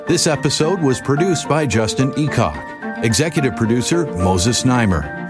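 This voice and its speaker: A man serves as a podcast announcer, reading scripted credits. His pitch is mid-range and very varied, and clear music plays behind his voice. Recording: music under the voice.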